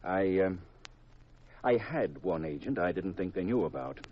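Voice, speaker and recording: Deep, husky secretive spy chief. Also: male voice, animated, heavily acted. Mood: grave, confiding. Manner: deliberate, measured, gravely confidential. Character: grave, secretive government intelligence chief briefing a recruit. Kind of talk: 1950s radio drama acting. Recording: dull vintage 1950s radio recording.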